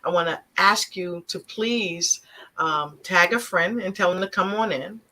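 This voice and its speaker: A woman's voice: on the low side, measured pace, bright, forceful tone. A woman hosting an online talk show over a video call, upbeat and enthusiastic as she introduces her guests. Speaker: talk show host and author.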